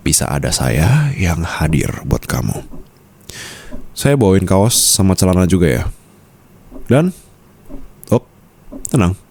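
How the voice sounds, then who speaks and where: A man's voice: low voice, warm tone, exaggerated voice. A man playing a suave, protective mafia boss boyfriend in an intimate, teasing ASMR roleplay. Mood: tender, reassuring.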